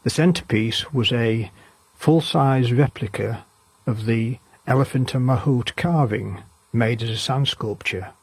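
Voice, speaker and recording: Husky male narrator, dry recording. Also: medium pitch, dry recording. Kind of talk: scripted informational narration.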